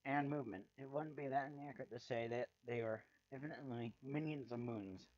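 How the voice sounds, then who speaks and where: A man's voice: medium pitch, fairly quick, a little mellow, no echo. Theatrical man reading fiction, dull lo-fi sound. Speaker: amateur fanfiction reader. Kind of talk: dramatic first-person story narration.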